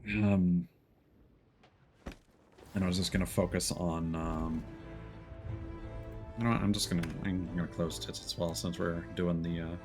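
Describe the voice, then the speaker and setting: A man with a deep voice talks casually through a live gaming stream. He rambles and thinks aloud as he plays, while music plays behind him. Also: steady tone, unhurried, long pauses. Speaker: livestream host playing a game.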